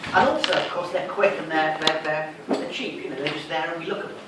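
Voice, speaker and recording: A man's voice: a little high, brisk. A man giving a classroom revision lecture, explaining in a didactic way and answering his own questions. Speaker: sociology lecturer. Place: lecture room, recorded from a distance. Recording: echoey room, distant mic, noisy.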